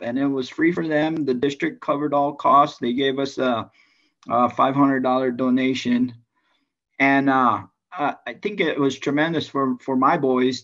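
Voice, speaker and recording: A man from Hawaii with a Hawaiian accent, a baseball coach talking casually to a club meeting over a video call, halting with many restarts and fillers. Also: medium pitch, a bit bright. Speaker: high school baseball coach. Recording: dull lo-fi sound.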